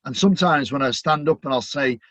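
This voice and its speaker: A man's voice: medium pitch, a little fast, a bit bright, no room sound. A middle-aged man with a Manchester accent, chatting upbeat as an interview guest, full of "you know" fillers. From Manchester, England. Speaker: guest, stadium announcer. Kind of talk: casual interview chat. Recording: dull, dry lo-fi audio.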